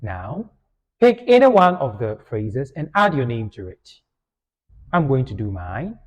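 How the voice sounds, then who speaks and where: Slow, lively man teaching. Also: medium pitch. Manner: deliberate, instructional, repeats phrases. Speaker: language tutor. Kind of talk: scripted beginner language lesson. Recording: long hall-like reverb.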